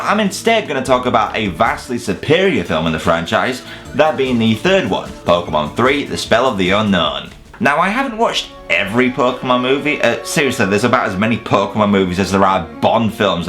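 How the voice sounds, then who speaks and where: A man's voice: lowish voice, fairly bright tone. A man delivering scripted comedic film commentary as a countdown host, with a sarcastic, casually sweary manner.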